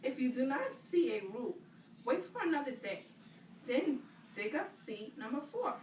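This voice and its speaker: A woman teacher reading aloud to children, pausing to question them and affirm their answers. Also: high voice, big pitch swings, darkish tone. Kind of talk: interactive read-aloud lesson with questions to children. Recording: roomy, distant mic, clear background noise.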